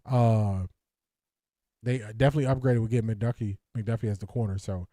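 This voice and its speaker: A man's voice: fairly low pitch, steady tone, fairly quick, dark tone, dry recording, clean studio sound. A man hosting a casual sports talk stream, reading viewer comments and answering with frequent restarts. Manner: hesitant, many restarts and fillers, laughs. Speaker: sports talk show host.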